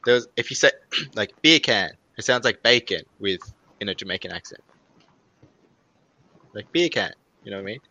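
A man's voice: fairly low pitch, animated, normal speed, pauses often, sharp tone, dull audio. A young Australian man with an Australian accent, co-hosting a podcast in a laid-back casual chat and laughing easily. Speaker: podcast co-host. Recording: faint background hiss.

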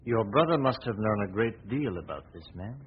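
Suave gentleman sleuth: low, measured, formal questioning, thin noisy forties radio recording. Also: animated, husky. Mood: serious, probing. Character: suave, probing gentleman detective, calm and concerned. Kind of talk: 1940s radio drama acting. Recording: tinny, noisy 1940s radio recording with rumble.

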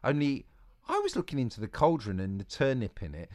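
A man's voice: medium pitch, wildly swooping pitch, fairly quick, darkish tone, pitched above the performer's own voice. A man reading aloud and voicing a plucky, chatty young girl in a raised voice. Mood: doubtful.

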